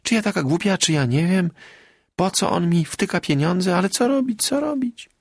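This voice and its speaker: A man acts out a good-hearted but bossy peasant woman from an audiobook tale. Her voice sits high and swings up and down, slightly husky, with rustic, breathless chatter full of exclamations. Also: animated, normal speed, no room sound, studio quality, heavily acted. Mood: anxious lament. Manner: rustic dialect, exclamatory, garrulous. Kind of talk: literary audiobook character voice.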